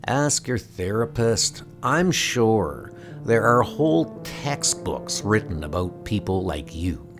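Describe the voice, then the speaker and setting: A man's voice: fairly low pitch, sing-song, slow. A man voicing a dry, sarcastic, needling ex-superhero in a comic book audio drama. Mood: sardonic. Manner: sardonic, cutting. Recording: music under the voice. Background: music.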